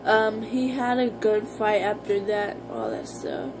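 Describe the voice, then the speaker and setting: An American woman talking casually to her viewers in an informal, halting, rambling vlog style. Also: mid-range voice, big pitch swings, music in the background. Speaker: vlogger.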